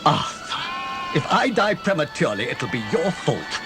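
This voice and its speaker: A man's voice: on the high side, big pitch swings, measured pace, music in the background. An actor playing a stern, scornful father in a radio play, scolding and exasperated. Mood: reproachful. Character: stern, irascible Edwardian father, impatient and scornful.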